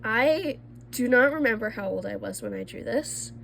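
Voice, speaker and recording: A woman's voice: on the high side, expressive, normal speed, creaky, a bit bright, a little hiss or hum. A young woman, a little congested from allergies, chatting casually and self-deprecatingly as she shows her old drawings. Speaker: art vlogger. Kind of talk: casual sketchbook tour.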